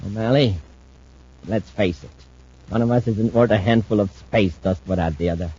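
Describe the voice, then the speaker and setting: An actor playing a weakened, obsessed spacer in a 1950s radio drama, brooding and intense. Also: male voice, on the low side, moderate pace, dark tone, husky. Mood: confiding, urgent. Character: thin, weakened, obsessed male spacer seeking his old partner. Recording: muffled old-time radio recording.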